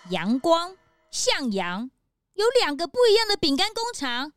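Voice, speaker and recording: A wide-eyed male detective's assistant who exclaims at everything, played by a woman. The voice is high and dry, with faint music behind. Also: very animated, a little slow, fairly bright tone, no room sound, heavily acted. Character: excitable male detective's assistant, easily confused. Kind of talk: children's radio drama.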